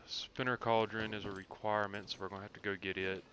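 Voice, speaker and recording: Male game streamer, lowish voice, casual and explanatory, thinking out loud, noticeable noise behind. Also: level tone, fast talker, rough recording. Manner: thinks aloud, explains tactics. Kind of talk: casual live gameplay commentary.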